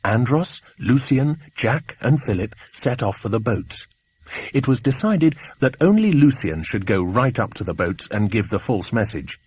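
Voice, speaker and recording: A man's voice: mid-range voice, lively intonation, measured pace, a little mellow, breathy edge. A man with an English accent reading a children's adventure story as an audiobook narrator.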